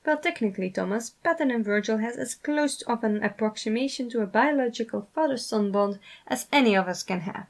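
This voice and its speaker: A woman's voice: a little high, brisk, few pauses. A woman voicing a pedantic, logical man in a story, explaining in a precise, lecturing manner. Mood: matter-of-fact.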